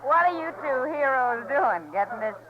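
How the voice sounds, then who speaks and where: A woman's voice: high voice, big pitch swings, normal speed, heavy background noise. Wry saloon woman, muffled old radio. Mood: wry teasing. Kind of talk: 1950s radio western acting.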